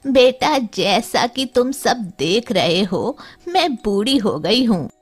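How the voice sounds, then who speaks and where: Woman acting a slow, loving old village mother. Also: fairly high pitch, big pitch swings, husky, soft background music, exaggerated voice. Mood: loving, weary. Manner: slow, drawn-out, instructive.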